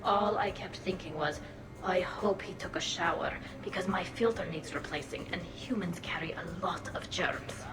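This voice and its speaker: A woman's voice: fairly low pitch, normal speed, in a whisper. Playful young alien woman: helmet-filtered, roomy sound, music behind, game dialogue. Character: chatty young alien woman in a breathing mask. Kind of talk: video game character acting.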